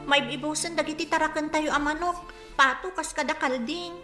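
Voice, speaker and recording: A woman's voice: high-pitched, fairly even intonation, fairly quick, roomy sound, loud music behind the voice, lightly acted. A woman acting in a radio drama as a dutiful, worried young daughter speaking earnestly and respectfully.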